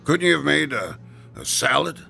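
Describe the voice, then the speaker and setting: An actor playing a gruff, heat-weary husband in a radio drama, grumbling and sighing. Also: male voice, on the high side, big pitch swings, moderate pace, pushed and bright, faint music behind, exaggerated voice.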